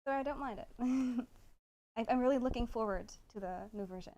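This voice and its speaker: Livestream panelist: woman with a slightly high voice, giggly and halting, informal. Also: dark tone. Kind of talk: casual livestream chat.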